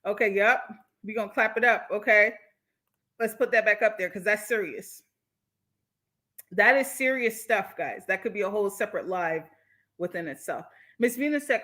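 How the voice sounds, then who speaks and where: A woman with an American accent hosting a casual livestream, reading and answering viewer comments in an animated, candid, slangy way. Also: fairly high pitch. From born in Jamaica, moved from the United States. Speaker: livestream host.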